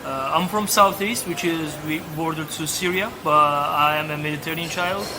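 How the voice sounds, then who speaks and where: A man in his thirties with a Turkish accent and a notably high voice speaks as a podcast guest. He tells his story candidly but haltingly, with frequent restarts. A noisy bar hums loudly behind him. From Turkey. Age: 30s. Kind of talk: casual interview chat. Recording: noisy ambient background.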